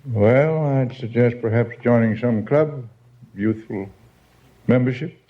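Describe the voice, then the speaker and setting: A man's voice: medium pitch, takes it slowly. A man in his seventies from Massachusetts answering questions as a quiz show contestant, with dry, plain-spoken humour. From Massachusetts, USA. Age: 70s. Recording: dull vintage radio recording.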